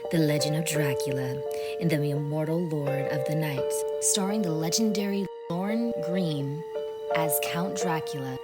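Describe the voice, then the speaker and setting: Spooky horror host lady, really deep voice, dramatic intro, music blasting behind. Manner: ominous, dramatic. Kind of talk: theatrical scripted introduction.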